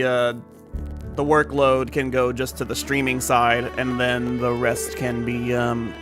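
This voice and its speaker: A man from the United States live-streaming video games, chatting casually in a rambling, informal way. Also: medium pitch. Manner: rambling, informal, mild swearing.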